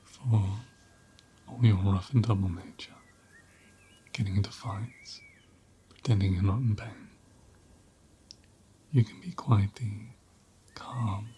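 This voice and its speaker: A man playing a gentle, doting ram caretaker in an ASMR roleplay, speaking softly and tenderly and often slipping into a whisper. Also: on the low side, slight background noise. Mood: affectionate, soothing. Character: gentle, doting male ram caretaker tending an injured lamb. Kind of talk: ASMR roleplay, soft half-whispered close speech.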